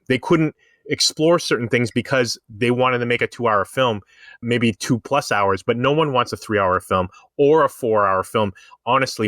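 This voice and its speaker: A man's voice: mid-range voice, fairly bright tone, dry recording. Dry-sounding man talking pop culture. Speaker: podcast panelist. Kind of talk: casual pop-culture discussion.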